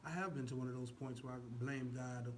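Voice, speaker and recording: A man's voice: mid-range voice, fairly even intonation, brisk, a little hiss or hum. A man speaking as a show guest, giving casual, preacher-like testimony, earnest and full of rhetorical questions. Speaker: stage actor, show guest.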